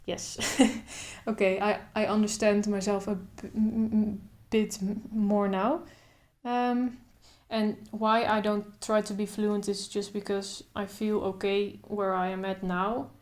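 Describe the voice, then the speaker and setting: Calm woman with a steady stutter. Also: mid-range voice. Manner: stutters openly, with repeated sounds and blocks; thoughtful, calm, speaks in short phrases with pauses. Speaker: vlogger. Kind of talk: casual talk to camera.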